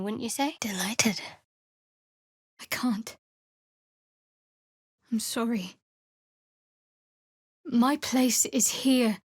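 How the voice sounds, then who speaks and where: An actress playing a guarded, wry young female cleric in a fantasy video game, speaking in a cool, dry, measured way. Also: a little high, no echo. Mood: dry, teasing, then soft, earnest. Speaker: video game companion character. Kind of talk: scripted fantasy game voice acting.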